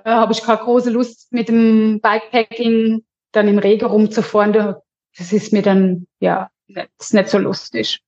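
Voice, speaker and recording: A woman from Lower Franconia with a Lower Franconian accent, a cheerful, talkative podcast guest who often restarts her sentences. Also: darkish tone. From Lower Franconia, Germany. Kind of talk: casual interview chat. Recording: dull lo-fi sound.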